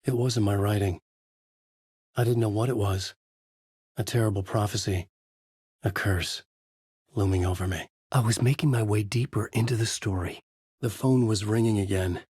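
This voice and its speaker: An actor playing a tormented, haunted horror novelist, narrating a video game in grave, brooding noir fragments with a husky voice. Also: male voice, on the low side, steady tone, normal speed, pauses often, darkish tone, dry recording, clean studio sound. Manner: grave, literary, brooding delivery in short fragments.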